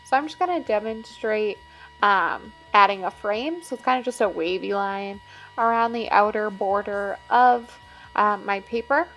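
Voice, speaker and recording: A woman's voice: mid-range voice, a little slow, fairly bright tone, faint music behind, rough recording. A woman working as an art teacher, casually explaining a drawing demonstration step by step as she works.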